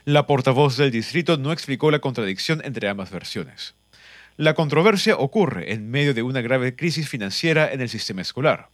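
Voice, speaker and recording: Guy reading a news piece, steady formal delivery, dry recording. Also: mid-range voice, normal speed, no echo. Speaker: news reader. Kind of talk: read news report.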